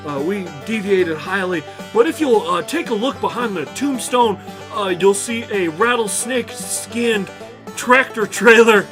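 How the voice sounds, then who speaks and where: A man voicing a blunt, foul-mouthed snake rancher, rambling haltingly through an improvised comedy eulogy. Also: high voice, expressive, music in the background. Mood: bitter, rambling. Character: gruff, foul-mouthed male rattlesnake rancher, rambling and bitter.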